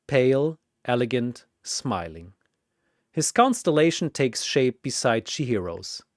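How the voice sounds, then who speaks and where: A man's voice: medium pitch, no room sound, studio quality. A man narrating a scripted fantasy story dramatically, in theatrical, punchy phrases.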